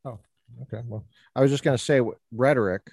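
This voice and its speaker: A man hosting a podcast interview, talking in an animated, rambling way with playful teasing. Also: mid-range voice, quick pace, breathy edge, no room sound. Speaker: podcast host. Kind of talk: casual interview chat.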